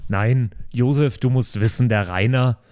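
A man hosts a role-play podcast in a loose, joking style. His voice sits at a medium pitch and he talks like he is among friends. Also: unhurried, darkish tone, clear, resonant tone. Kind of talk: casual joking chat.

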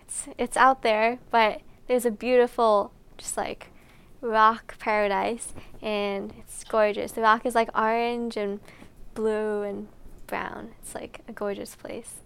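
A woman's voice: on the high side, little pitch movement, slow pace, creaky, fairly bright tone, clear, resonant tone. A young American woman answering interview questions in a bubbly, giggly way, with frequent "like". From United States. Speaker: climber.

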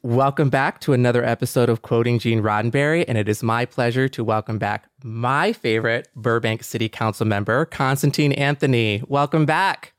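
A man's voice: medium pitch, normal speed, hardly any pauses, clear, resonant tone, no echo, clean studio sound. A man hosting a podcast in a casual, conversational interview style. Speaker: podcast host.

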